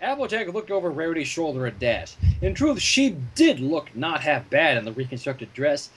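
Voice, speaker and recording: Husky, animated higher-pitched man reading aloud. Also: moderate pace, poor audio. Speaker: fan fiction reader. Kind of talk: animated story narration with joking asides.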